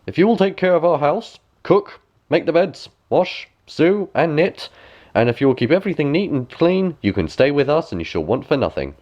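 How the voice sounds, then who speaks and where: A man acts out a little storybook dwarf, curious and friendly. The voice is lifted and swinging in pitch, with emphatic, wide-eyed delivery. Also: sing-song, moderate pace, a little mellow, lo-fi sound. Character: small male dwarfs of a cottage, curious, friendly.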